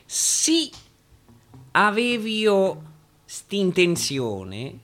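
A man voicing a persuasive ship's captain with a Roman accent, reasoning with his crew in a halting, oratorical way. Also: high-pitched, wildly swooping pitch, a bit bright, heavily acted. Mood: reproachful, measured. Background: acoustic guitar music.

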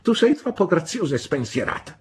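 A man voicing a stern, severe middle-aged woman, shrill and imperious. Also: big pitch swings, brisk, breathy edge, exaggerated voice. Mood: falsely sweet.

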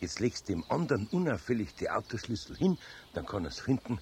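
An actor playing a good-natured older Bavarian craftsman, gruff but fatherly, in a radio play. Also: on the low side, sing-song, brisk. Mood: coaxing. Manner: fatherly, coaxing, easily riled when wronged. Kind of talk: 1970s German radio play acting. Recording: faint background noise, old radio production.

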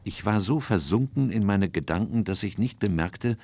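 An actor playing a loyal, watchful gentleman who narrates a crime radio drama in a measured, grave way. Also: on the low side, lively intonation, heavy background noise. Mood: grave, reflective. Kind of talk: scripted first-person radio drama narration.

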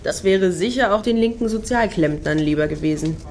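A woman's voice: mid-range voice, fairly quick, a little mellow, a little hiss or hum, lightly acted. A woman acting in a satirical radio play as a wry, opinionated friend who comments knowingly. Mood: wry, gossipy.

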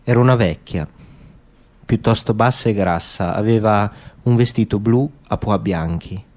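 A man's voice: lowish voice, a little slow, darkish tone. A man reads literary prose dramatically as a narrator in a radio play. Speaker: actor reading in a radio play. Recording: clear background noise with clicks.

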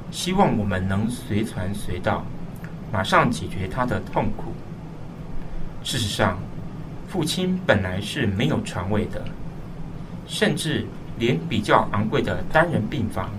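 A man's voice: medium pitch, noisy background, close to an everyday voice. A man playing a burdened, dutiful eldest son, narrating a radio drama in a solemn, earnest way. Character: burdened eldest son, dutiful and troubled, telling his story. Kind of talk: scripted dramatic first-person narration. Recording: slightly distant mic, music behind.

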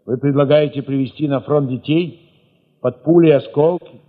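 Man playing a blustering military commissar, husky voice. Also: fairly high pitch, fairly even intonation, in a large hall, narrow, tinny audio, close to an everyday voice. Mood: indignant. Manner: exclamatory, emphatic, drawn-out address. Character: gruff, blustering army regimental commissar, protesting then relenting. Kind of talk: audio drama dialogue.

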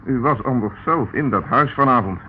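A brisk river police inspector, acted by a man in a radio drama, with a mid-pitched voice. He is firm and insistent, giving orders in a businesslike way. The recording is narrow and tinny with faint noise. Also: lively intonation, close to an everyday voice. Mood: firm, pressing. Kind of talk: radio play acting.

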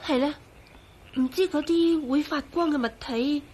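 A woman acting a gentle, hopeful and caring character in a radio drama, earnest and coaxing, with a Hong Kong Cantonese accent. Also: high-pitched, a little slow.

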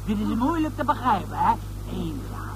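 A man's voice: high-pitched, big pitch swings, moderate pace. A man playing a drunken, mocking, cowardly lover who repeats himself in a radio drama. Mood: taunting, maudlin. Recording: very noisy old radio play recording.

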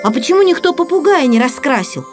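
A woman's voice: sits high, sing-song, quick pace, music in the background, voice pushed up. A woman voicing a male artist who teaches magic, firm, kindly and instructive, in a children's audio play. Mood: surprised, decisive.

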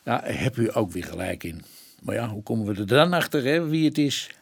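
An actor playing a blunt, eager police sergeant in a Dutch radio play, colloquial and stumbling over long words. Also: male voice, mid-range voice, very animated, fairly quick, creaky, slightly rough, heavily acted. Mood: blunt, indignant. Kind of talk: Dutch radio drama acting.

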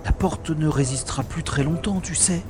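A man's voice: on the high side, normal speed, natural voice. A man playing a cocky, wry space secret agent in a French science-fiction audio drama. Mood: hushed, urging. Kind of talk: French sci-fi audio drama, first-person narration and dialogue. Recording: roomy sound with music behind.

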